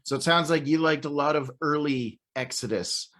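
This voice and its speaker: A man's voice: on the high side, normal speed, a crowd in the background. Upbeat man hosting a casual livestream. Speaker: host of an online Bible read-along. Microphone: video call livestream.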